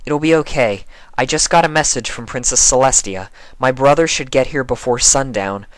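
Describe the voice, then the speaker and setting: A man voicing an earnest, organised female unicorn pony with a brisk, reassuring manner. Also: medium pitch, dry recording, voice pushed up, lightly acted, low-quality recording.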